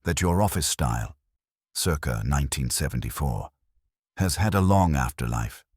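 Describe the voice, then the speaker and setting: Radio detective male performer: gentle, wry, courteous inspector, bass register, slightly rough-edged, probing with tact. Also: lively intonation, moderate pace, dry recording, studio quality, heavily acted. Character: gentle, thoughtful, tactful male police inspector.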